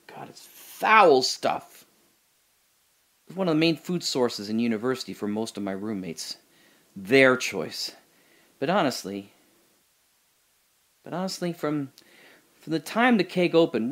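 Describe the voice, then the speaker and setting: A rambling young man alone in the dark. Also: medium pitch. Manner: restless, talking to himself, swings from hushed to loud. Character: lone young man trapped in darkness, rambling. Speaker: radio drama actor. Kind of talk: solo audio drama monologue.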